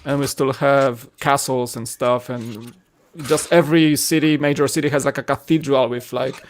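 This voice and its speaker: A man's voice: mid-range voice, fairly even intonation, normal speed, a little hiss or hum. A man from Poland with a Polish accent, a podcast co-host chatting casually and explaining at length with frequent restarts. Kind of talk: casual chat.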